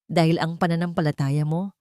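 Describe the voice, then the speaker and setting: A grave, commanding father full of remorse, voiced by a woman. The voice sits low and speaks earnestly and haltingly, as in an audio drama. The sound is very dry. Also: fairly even intonation, dark tone, clear, resonant tone, no echo, natural voice. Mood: confessing, pained. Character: remorseful, commanding billionaire father confessing and apologizing.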